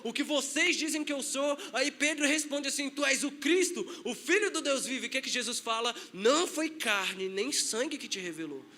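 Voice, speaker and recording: A man's voice: very high voice, bright, forceful tone. A man with a Brazilian Portuguese accent, a pastor preaching a sermon in a conversational way, acting out quotes.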